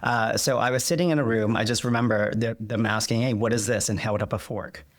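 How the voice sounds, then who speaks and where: A man's voice: on the low side, fairly even intonation, a little fast. A man whose was Vietnamese, a guest in a conversational interview, speaking reflectively with many fillers and restarts. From United States.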